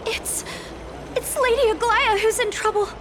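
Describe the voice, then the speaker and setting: Tiny young game messenger, extremely high pitch, frantic, excitable, crying out, faint noise behind. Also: female voice, unhurried. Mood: panicked. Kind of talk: fantasy video game dialogue.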